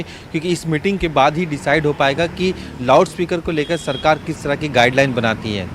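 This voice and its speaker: A man giving a live, unscripted news report from location, in a halting way with self-corrections. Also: on the high side. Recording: faint outdoor street and traffic noise.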